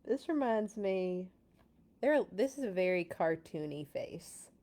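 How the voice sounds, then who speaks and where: Animated woman reading a storybook. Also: on the low side, slow. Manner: informal, amused, expressive. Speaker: children's storybook read-along host. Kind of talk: casual read-aloud with chatty asides.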